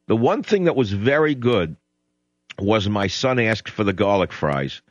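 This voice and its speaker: A man's voice: lowish voice, very animated, measured pace, no echo. A male talk radio host, sardonic and emphatic, rambling through opinions and taking calls in a studio. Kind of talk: opinionated radio monologue and call-in chat. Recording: dull lo-fi radio broadcast.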